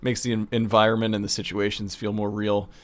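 A man talking casually as an audio producer in a relaxed-format, behind-the-scenes conversation. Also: lowish voice, brisk.

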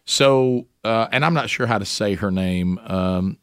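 Halting male talk show host, dry studio. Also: on the low side, clear, resonant tone, dry recording. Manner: halting, full of restarts and fillers. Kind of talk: casual political commentary.

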